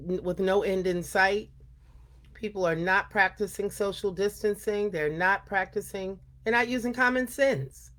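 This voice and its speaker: An older woman hosting a storytime, speaking earnestly and deliberately, chatting informally and reading aloud for children. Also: mid-range voice.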